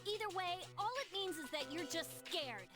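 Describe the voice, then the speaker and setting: An actress playing an angry, desperate teenage girl in a video game drama, confrontational and sharp-tongued. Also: very high voice, lively intonation, normal speed. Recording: loud music under the voice.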